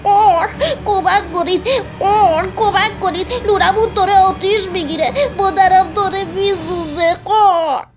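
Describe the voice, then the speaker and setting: A woman voicing a brash, excitable young crow child who punctuates speech with caws in a children's story. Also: very high-pitched, hardly any pauses, voice pushed up. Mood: panicked.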